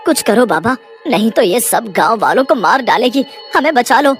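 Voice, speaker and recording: A woman's voice: a little high, lively intonation, moderate pace, faint music behind. A woman voicing a worried, grumbling village man in a cartoon horror story, with a plaintive manner. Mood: frightened.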